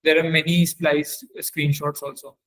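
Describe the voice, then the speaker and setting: A man teaching in a webinar, demonstrating software step by step and often halting to search for words. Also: on the high side, level tone. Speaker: webinar trainer.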